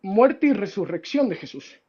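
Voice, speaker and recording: A man's voice: high voice, big pitch swings, moderate pace, warm tone. Mexican-accented male debater, self-styled historian, repeats himself and talks down, muffled lo-fi sound. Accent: Mexican Spanish. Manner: interrupts, repeats words, patronizing "mi estimado". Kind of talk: heated debate on a call.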